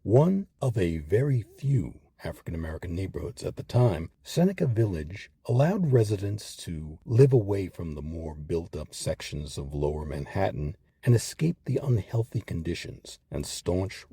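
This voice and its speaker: A man reading scripted documentary narration in a measured, articulate way with emphatic phrasing. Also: low-pitched, animated, gravelly, warm tone, slightly rough. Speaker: narrator of a history program.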